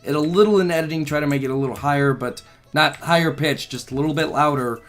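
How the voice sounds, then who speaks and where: A slightly hoarse young man rambling through casual gameplay commentary, swearing freely, his mic held close to his mouth. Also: a little high, fast talker, fairly bright tone. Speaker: gaming channel host.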